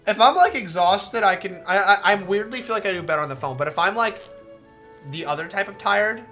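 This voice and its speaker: A woman streamer chatting casually while playing a visual novel, rambling and swearing, with a soft, relaxing voice. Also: medium pitch, animated, brisk, soft background music. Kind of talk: casual livestream commentary over a visual novel.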